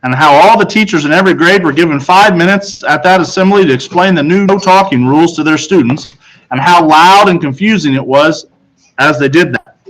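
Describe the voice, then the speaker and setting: A man with a slightly high voice reads a story aloud to children. He narrates like a teacher with a class. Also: fairly quick, a bit bright, poor audio. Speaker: audiobook reader, teacher.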